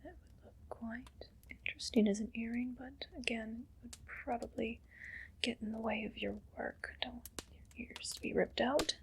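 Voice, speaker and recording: A woman playing a haughty, condescendingly kind evil queen in an ASMR roleplay, speaking in a breathy whisper with slow, deliberate, imperious delivery. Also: on the high side, steady tone, slight background noise. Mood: musing, haughty.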